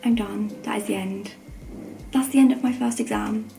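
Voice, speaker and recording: A young woman with a croaky voice, chatting informally and rambling in a self-deprecating way as a student vlogger. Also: a little high, steady tone, normal speed, mellow, faint music behind. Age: young adult. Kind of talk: casual chat to camera.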